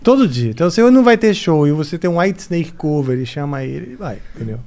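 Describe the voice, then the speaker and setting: A man's voice: on the high side, very animated, fast talker, a little mellow. A man in his forties, a guest musician, telling jokey stories in a casual podcast chat in a studio. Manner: storytelling, joking. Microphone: studio mic on boom arm.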